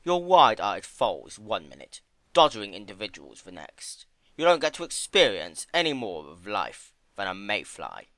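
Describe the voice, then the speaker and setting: A sly male creature of chaos as a man narrator puts him on, theatrical and sardonic. The delivery is silky with a sneer, bright and forceful, very animated in pitch, recorded dry. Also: normal speed, dry recording, exaggerated voice. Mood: defensive, exasperated.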